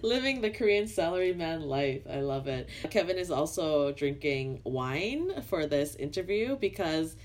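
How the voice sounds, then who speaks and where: A woman with a fairly low voice hosts a podcast, asking questions casually. Her pitch swings widely as she talks, and her delivery stays lively and conversational. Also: a little slow, few pauses. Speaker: podcast host. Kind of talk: casual interview chat.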